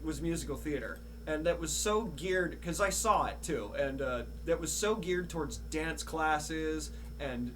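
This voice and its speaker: A man's voice: a little high, lively intonation, measured pace, hardly any pauses, a bit bright. A young man hosting a casual interview, thinking aloud and rephrasing as he goes. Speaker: podcast host. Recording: clearly noisy with a background hum.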